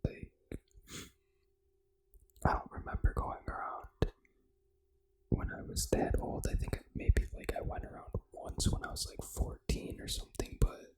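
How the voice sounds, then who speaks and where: An ASMR gaming host, reading trivia in a breathy, unvoiced whisper with casual, informal asides. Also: darkish tone, low-quality recording. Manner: casual, informal, unhurried reactions to what is read.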